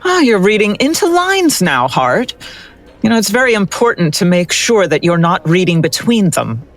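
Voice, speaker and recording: A woman's voice: medium pitch, big pitch swings, moderate pace, faint music behind. A woman playing a skeptical, no-nonsense forensic doctor who gives curt, sardonic replies. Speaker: forensic analyst.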